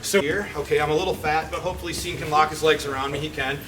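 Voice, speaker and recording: A man's voice: a little high, fairly even intonation, fast, noisy background. A middle-aged man, a jiu-jitsu instructor, telling stories casually and then teaching techniques on the mat in a martial arts gym, often checking in with "okay?" and "right?". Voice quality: chatty, self-deprecating, frequent fillers like "okay?" and "right?".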